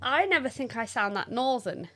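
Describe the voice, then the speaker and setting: A woman's voice: a little high, normal speed. A woman in her thirties with a northern English accent, co-hosting a parenting podcast and chatting casually with lots of laughs. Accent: northern English, toned down. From northern England. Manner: animated, laughs often, acts out dramatic quotes. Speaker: podcast co-host. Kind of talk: casual chat.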